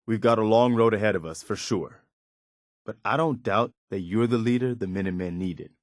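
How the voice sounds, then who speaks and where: An actor playing an earnest, loyal militia lieutenant in a video game, sincere, respectful and soldierly. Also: male voice, lowish voice, moderate pace, no room sound, clean studio sound, lightly acted. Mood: heartfelt. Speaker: companion character in a video game.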